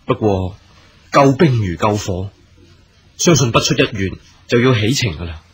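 A man's voice: fairly low pitch, animated, moderate pace, husky. A man acting a courteous, earnest military commander in a period radio drama, speaking formally in Hong Kong Cantonese. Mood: composed. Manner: formal, stately, gracious.

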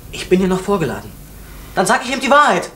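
A man's voice: high-pitched, huge pitch swings, quick pace, sharp tone, exaggerated voice. An actor playing a stubborn, conscientious young man in an East German radio drama, speaking with emphatic defiance. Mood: defiant. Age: young adult. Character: stubborn, conscientious young postal worker and son. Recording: roomy, noisy old radio drama recording.

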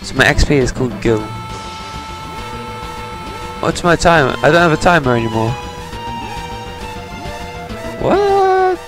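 A man's voice: a little high, huge pitch swings, normal speed, rough recording. A man giving casual, sarcastic commentary while playing a video game, muttering to himself. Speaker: let's player. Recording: game music underneath. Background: game music.

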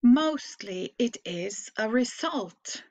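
Norwegian teacher reading slowly, higher voice. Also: female voice, expressive, darkish tone. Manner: slow, clearly phrased read-aloud with pauses. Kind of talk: slow read-aloud for learners to repeat.

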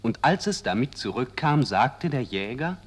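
A man narrating a fairy tale for children in a measured, expressive way. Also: mid-range voice, husky.

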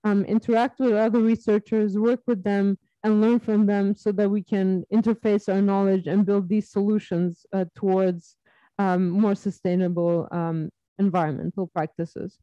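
A woman in her thirties from Serbia, giving an academic webinar talk on her research, measured and full of ums and uhs. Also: medium pitch, level tone, no echo. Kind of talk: academic presentation. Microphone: video call. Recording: dull lo-fi video call audio.

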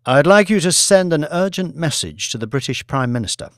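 A man's voice: a little high, animated, fairly quick, no room sound, clean studio sound, pitched above the performer's own voice, natural voice. A man voicing a coolly scheming executive in an audiobook, insistent and decisive as he gives orders. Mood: commanding. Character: commanding, coolly scheming male executive newly in power.

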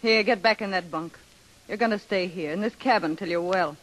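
A woman's voice: medium pitch, fairly quick, natural voice, poor audio. An actress playing a blunt, slangy American young woman, a defiant captain's daughter in a 1930s radio drama. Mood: firm, concerned. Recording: hissy 1930s radio broadcast.

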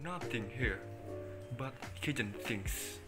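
A man's voice: mid-range voice, lively intonation, takes it slowly, a bit bright, faint music behind. A young man playing a dismissive, plain-spoken country sheriff in an amateur student drama.

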